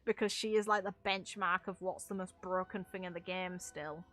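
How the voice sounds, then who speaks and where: A woman's voice: fairly low pitch, fairly even intonation, normal speed, a bit bright, faint music behind. A woman doing casual gaming commentary as a streamer, rambling and opinionated with some casual swearing. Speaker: game streamer.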